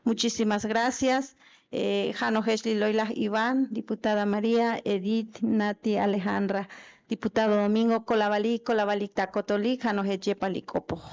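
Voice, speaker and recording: A woman from Chiapas speaking to lawmakers at a meeting, halting, with many fillers and restarts. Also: mid-range voice, flat delivery, darkish tone, smooth. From Chiapas, Mexico. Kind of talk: formal public address. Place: legislative meeting room. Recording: dull lo-fi event audio.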